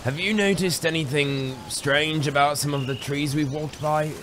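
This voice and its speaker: An actor playing an observant, tactful travelling companion in an audiobook drama. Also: male voice, on the high side, steady tone, normal speed, background noise, close to an everyday voice. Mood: cautious.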